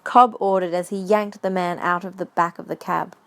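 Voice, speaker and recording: Woman narrator reading a story script, voice a bit on the low side. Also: steady tone, normal speed, vocal fry, a bit bright.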